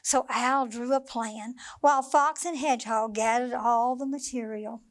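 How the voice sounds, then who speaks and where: A woman reads a bedtime picture book to young children in a high voice. She goes very slowly, with an expressive, sing-song lilt. The sound is dry and close, with very little room. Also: dry recording. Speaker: children's librarian storyteller.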